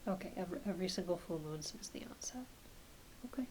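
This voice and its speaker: A soft-spoken woman, often dropping into a whisper, gently playing a reassuring doctor in an ASMR roleplay. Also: on the low side, lively intonation, fast. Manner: gentle, reassuring, hesitant questioning. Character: kindly, attentive doctor examining a patient. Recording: hissy close home recording.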